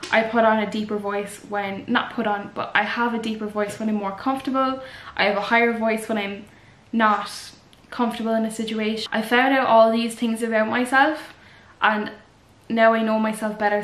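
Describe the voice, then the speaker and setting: A woman's voice: mid-range voice, fairly bright tone. A young woman vlogger giving earnest, rambling advice to the camera in a casual chat. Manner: chatty, earnest, rambling with fillers. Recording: echoey room.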